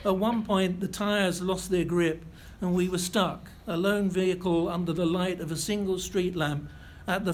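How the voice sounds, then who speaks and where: An older man giving a lecture, reading out a first-hand account in a measured, precise way with a few self-corrections. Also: high-pitched, a little hiss or hum. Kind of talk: reading a prepared memoir-style lecture.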